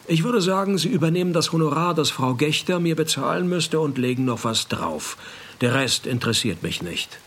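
A man's voice: on the high side, normal speed, husky, exaggerated voice. An actor playing a dry, sardonic private detective, narrating his case in a radio play. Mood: matter-of-fact. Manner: deadpan, laconic, sardonic.

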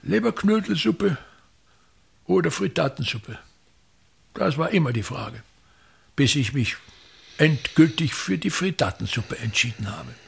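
A pompous old theatre director holds forth in a radio play, grandiloquent and forever complaining. His voice sits a touch high for a man, with a gravelly rasp and a husky, breathy edge. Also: expressive, a little slow, pauses often, exaggerated voice. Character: pompous aging male touring theatre director. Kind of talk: radio drama acting.

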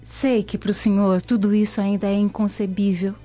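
A woman's voice: medium pitch, flat delivery, moderate pace, mellow, natural voice. An actress playing a devout, lovestruck young Roman noblewoman, reminiscing tenderly and solemnly in a radio drama. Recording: dull lo-fi radio drama audio. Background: music.

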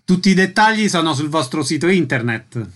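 A man's voice: a little high, animated, brisk. A man hosting a radio arts programme, announcing events and closing an interview. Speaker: radio host.

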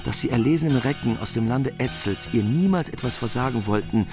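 A man's voice: mid-range voice, fairly quick. A man reading scripted literary narration for a radio play. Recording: music behind the voice. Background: music.

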